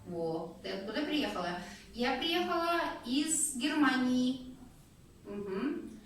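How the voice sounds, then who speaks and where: A woman teaching a Russian lesson one-on-one, guiding patiently with slow, clear prompts. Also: sits high, animated. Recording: echoey room, distant mic, faint noise.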